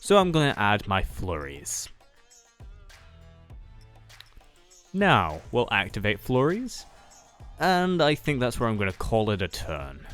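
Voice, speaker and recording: Man playing an easygoing card duelist, lively intonation. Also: fairly low pitch, measured pace, soft background music, exaggerated voice. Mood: musing, casual. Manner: thinking aloud with hesitant hums. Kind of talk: improvised anime-style roleplay dialogue, game commentary.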